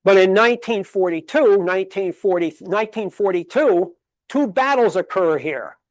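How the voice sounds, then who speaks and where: Emphatic high-voiced male history lecturer. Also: expressive, moderate pace, no echo. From Connecticut, USA. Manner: emphatic, rhetorical questions, lists dates and figures. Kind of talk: public library history lecture. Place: library meeting room.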